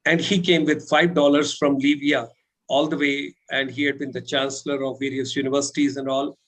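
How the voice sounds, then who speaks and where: A man's voice: mid-range voice, flat delivery, fairly quick, a bit bright, rough recording. A man speaking as a webinar panelist and trainer, spelling out names and repeating phrases for effect. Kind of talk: motivational panel talk.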